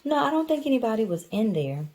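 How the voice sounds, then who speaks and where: A woman's voice: on the high side, very animated, quick pace, darkish tone. A woman reading a picture book to a child and chatting casually, explaining things simply and patiently. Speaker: mother reading to her child. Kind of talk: picture book read aloud with casual chat.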